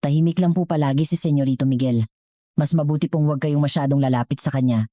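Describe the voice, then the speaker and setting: A woman's voice: low voice, quick pace, mellow, dry recording, clean studio sound, close to an everyday voice. A woman voicing an emotionless, formal and discreet male butler in a story. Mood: formal, guarded.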